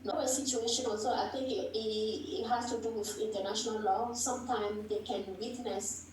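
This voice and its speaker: A woman in her thirties, originally from Togo, answering interview questions in a measured, reflective way. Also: a little high, fairly even intonation, darkish tone, slight background noise. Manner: measured, reflective, thinking aloud. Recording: echoey room, distant mic.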